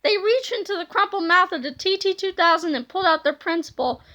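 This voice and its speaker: Woman narrator, children's read-aloud, very high pitch, bright tone, expressive, dramatic. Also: fairly quick.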